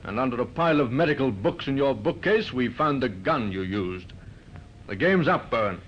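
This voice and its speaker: A man's voice: mid-range voice, lively intonation, close to an everyday voice. Man acting a firm police inspector, muffled old radio. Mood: stern, accusing. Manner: measured, authoritative, formal. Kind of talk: 1950s radio crime drama acting. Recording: muffled, noisy 1950s radio recording.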